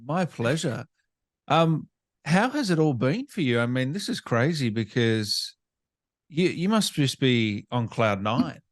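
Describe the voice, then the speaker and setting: A man's voice: medium pitch, big pitch swings, unhurried, no echo, clean studio sound. A man hosting a casual interview, speaking in an enthusiastic, supportive way. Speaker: interview host.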